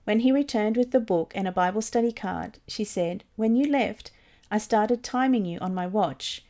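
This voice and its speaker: Female narrator for short religious stories, gently mellow timbre, mid-range pitch, reading from a script. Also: moderate pace. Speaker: volunteer reader of mission stories.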